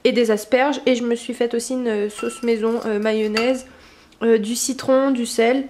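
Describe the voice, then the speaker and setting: A woman's voice: a little high, fairly even intonation, unhurried, a little mellow, reverberant, slight background noise. Chatty young woman with a cold. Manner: chatty, many fillers and restarts. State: stuffy with a cold. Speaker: vlogger. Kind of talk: casual daily vlog chat.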